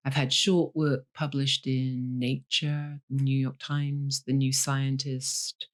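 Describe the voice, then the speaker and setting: A woman's voice: a bass voice, level tone, slow pace. Woman, wry and candid. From Leeds, Yorkshire, England. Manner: dry humour, candid, fillers like um. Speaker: guest, novelist. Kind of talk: interview over a call.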